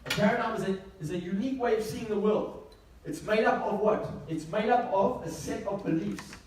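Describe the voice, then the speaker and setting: A man's voice: high-pitched, moderate pace. A man giving a motivational seminar talk to a live audience, emphatic, repeating key phrases and asking rhetorical questions. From South Africa. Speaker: motivational speaker. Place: echoey indoor venue with an audience. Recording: echoey room, distant mic, faint noise.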